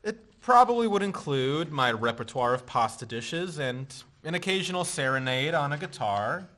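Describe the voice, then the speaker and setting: A man's voice: fairly high pitch, expressive, normal speed, fairly bright tone. A man playing a wry laid-back romantic. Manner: dry, teasing. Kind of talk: stage-play acting.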